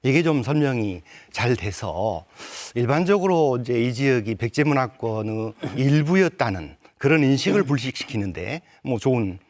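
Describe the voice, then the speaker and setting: A middle-aged man heading a research institute, giving his views on a studio panel in a measured, considered way. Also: medium pitch, expressive, no room sound. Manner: measured and considered, with soft fillers.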